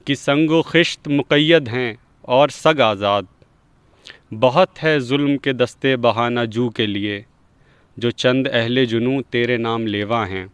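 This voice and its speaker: A man's voice: mid-range voice, fairly bright tone, clean tone, no room sound. A man presenting and reciting Urdu poetry.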